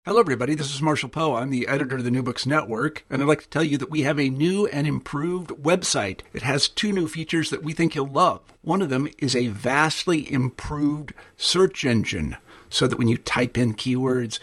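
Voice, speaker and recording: A man with a husky voice giving a scripted announcement for a podcast network. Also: medium pitch, fairly bright tone.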